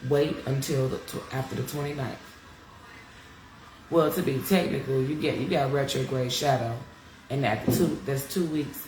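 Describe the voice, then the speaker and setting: Congested, gravelly woman teaching astrology. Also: extremely low pitch, echoey room, noisy background. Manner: chatty, frank, curses freely, addresses viewers as y'all. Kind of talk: casual live-stream astrology talk. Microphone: live-stream device mic.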